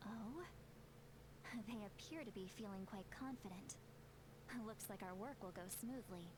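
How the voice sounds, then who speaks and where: A woman's voice: mid-range voice, a little slow, noisy background, natural voice. A woman voicing a spirited, fretful elderly shaman who tries to sound youthful, with theatrical, chatty delivery in a video game. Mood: pleased. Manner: chatty, theatrical self-questioning.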